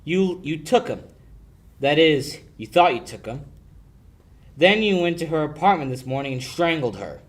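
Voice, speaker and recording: A man's voice: on the high side, very animated, normal speed. Young man playing cocky wisecracking amateur sleuth. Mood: accusing. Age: young adult. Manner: confident, needling, wry. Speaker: amateur high school actor. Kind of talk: 1940s radio detective drama acting.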